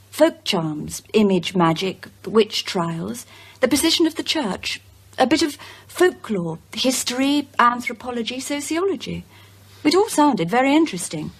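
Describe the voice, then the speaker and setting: An actress playing a composed widow who recounts a troubling past in a measured, articulate way. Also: female voice, a little high, slightly rough, full character voice. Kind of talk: naturalistic radio drama acting.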